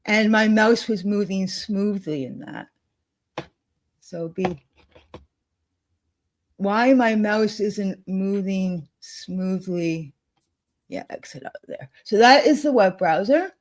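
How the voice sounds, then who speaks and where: A woman co-hosting a casual chat, thinking aloud as she clicks through software at her desk, with frequent laughs. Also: medium pitch, takes it slowly, pauses often. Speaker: co-host demonstrating software. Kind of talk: casual screen-share chat.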